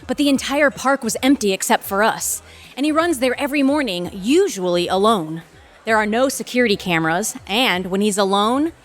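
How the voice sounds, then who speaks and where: A woman's voice: on the high side, natural voice. A woman playing an intense, bitter, ambitious lawyer and boss in an audio drama, sardonic and emphatic. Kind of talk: audio theatre acting.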